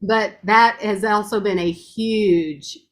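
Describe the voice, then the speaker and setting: A woman's voice: medium pitch, steady tone, slow pace. A woman with a strong voice giving a chatty, gushing testimonial, laughing often as she talks. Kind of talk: casual testimonial chat.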